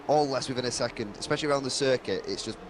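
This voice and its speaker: Male racing analyst with a mid-range pitch and a dry throat, steady explanations, noisy recording. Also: very fast. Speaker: co-commentator and driver. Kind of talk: sim racing colour commentary.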